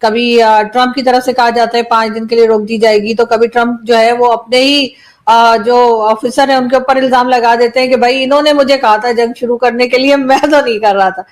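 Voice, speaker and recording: Higher-pitched woman interviewer. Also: fairly even intonation, moderate pace. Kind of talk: conversational interview questioning.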